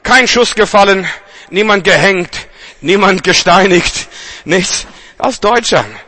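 A man's voice: sits high, expressive, slow pace, bright, forceful tone. A man from Germany preaching a charismatic sermon with emphatic, repeated refrains.

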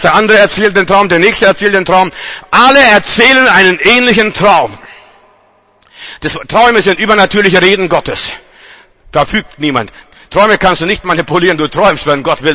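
A man's voice: sits high, normal speed, bright, forceful tone. A man from Germany preaching a charismatic sermon with emphatic, repeated refrains.

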